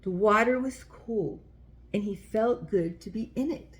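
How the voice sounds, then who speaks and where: A woman with a mid-pitched voice hosts a story time for young children. She speaks in the style of a picture-book read-aloud. Her phrasing is simple and explanatory, aimed straight at her little listeners. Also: measured pace.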